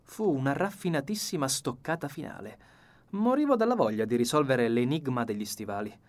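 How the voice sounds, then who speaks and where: A man's voice: medium pitch, lively intonation, a little fast. A man reading a novel as an audiobook narrator in scripted literary narration.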